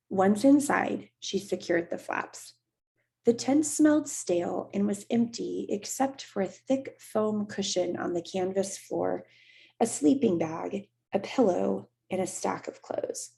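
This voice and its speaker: A woman teacher reading a children's novel aloud in a steady, unhurried voice. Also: medium pitch, creaky. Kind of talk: read-aloud storybook narration.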